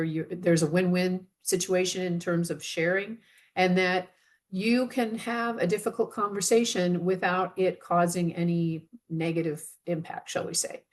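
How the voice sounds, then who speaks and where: A woman co-presenting a webinar, giving advice in a measured, earnest way and stressing key points deliberately. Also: lowish voice. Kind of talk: instructive webinar talk.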